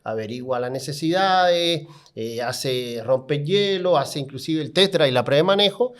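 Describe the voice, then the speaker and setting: A man from Cuba, about fifty, co-hosts a casual podcast. His voice sits a little high for a man. He tells his story playfully, teasing himself as he goes. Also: expressive, normal speed. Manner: joking, self-mocking storyteller. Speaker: podcast co-host.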